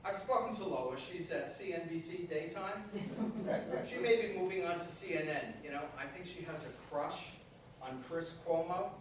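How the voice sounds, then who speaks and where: A man's voice: fairly high pitch, moderate pace, far from the mic, lightly acted. A man playing a defensive, self-important aging superhero who is out of work, in a staged comedy play reading. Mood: grumbling. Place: echoey room at a live staged reading. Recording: very echoey hall from afar, faint noise.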